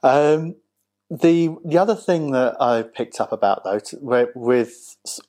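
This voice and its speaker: A man hosts a sit-down interview, and his voice sits at a middling pitch. He talks in a loose, halting way, with frequent restarts and "um"s as he works toward his questions. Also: mellow. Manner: halting, with many restarts and fillers. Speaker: interview host. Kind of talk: conversational interview.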